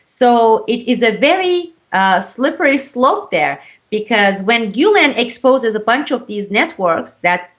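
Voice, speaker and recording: A woman's voice: on the high side, a bit bright. Turkish-native woman explaining earnestly. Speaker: interview guest. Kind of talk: interview conversation.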